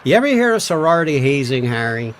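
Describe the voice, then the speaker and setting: A man's voice: fairly high pitch, very animated, fast, slight background noise. An actor playing a sardonic, skeptical police detective in an old-time radio mystery, a man with a needling manner. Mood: mocking. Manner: sarcastic, needling.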